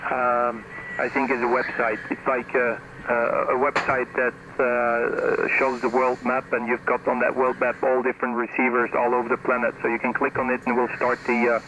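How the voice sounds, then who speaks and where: A man's voice: mid-range voice, sharp tone, muffled recording. A man talking casually as an amateur radio operator, heard through a shortwave radio transmission. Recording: noisy ham radio reception. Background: clear radio noise.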